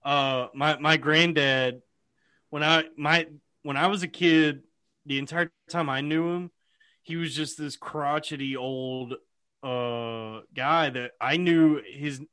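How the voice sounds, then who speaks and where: Brief: eager young man, sharp bright tone, higher voice, laughing banter. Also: smooth. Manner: excitable, jokey, quick to laugh. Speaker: podcast co-host. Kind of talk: casual comedic chat. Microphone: video call mic.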